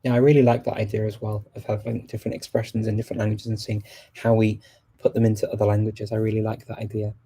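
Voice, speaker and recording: Host voice: native English-speaking man, very dark and mellow tone, flat delivery, halting as he hunts for words. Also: on the low side, breathy edge. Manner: halting, often pausing to search for words. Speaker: host of a discussion on multilingual events. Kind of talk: casual live group chat.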